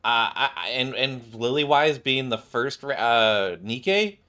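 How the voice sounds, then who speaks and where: A man's voice: mid-range voice, expressive, slow pace, pushed and bright. A man giving casual live game commentary, hesitant and repeating words as he muses. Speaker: game streamer reacting to cutscenes. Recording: game music behind the voice.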